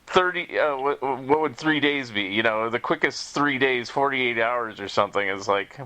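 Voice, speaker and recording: A man with a bright, forward voice talks casually as a podcast host. He is chatty and wanders through his sentences, with frequent little chuckles, at a medium pitch. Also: moderate pace. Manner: chatty, rambling, chuckles often.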